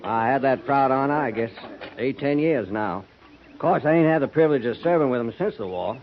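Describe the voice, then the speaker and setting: Weathered American trooper, a male actor's role, hesitant, rambling, quietly troubled, muffled vintage radio drama recording. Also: medium pitch, animated, a little fast, natural voice. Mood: deferential, sly. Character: older veteran cavalryman, folksy, brooding, quietly troubled. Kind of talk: 1950s American radio western acting. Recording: dull, noisy 1950s radio transcription.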